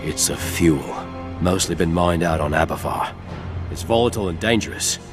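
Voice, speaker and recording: A man playing a confident, battle-hardened soldier in an animated war series, clipped and determined. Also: low voice, normal speed, close to an everyday voice. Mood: matter-of-fact. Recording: loud film music underneath.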